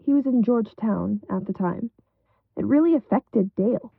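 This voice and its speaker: A woman's voice: a little high, expressive, normal speed, creaky, breathy edge, no echo, heavily acted. An actress playing a guarded, resigned woman being questioned about her family in an audio drama. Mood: resigned, hesitant. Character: guarded, embittered female relative being questioned. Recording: narrow, phone-like band.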